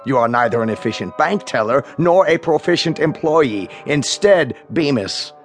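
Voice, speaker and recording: A man's voice: medium pitch, lively intonation, soft background music, close to an everyday voice. Actor as a pompous, swooping male bank boss. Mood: exasperated, pompous. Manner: pompous, precise, emphatic, drawn-out words. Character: pompous, stern, browbeating male bank president. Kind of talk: radio drama acting.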